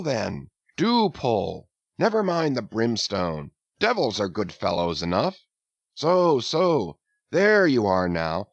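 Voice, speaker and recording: A man voicing a second mate who drawls and coaxes his rowers, breaking into high shouts. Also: vocal fry, husky, no room sound, heavily acted. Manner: drawling, soothing, then bursting into shouts.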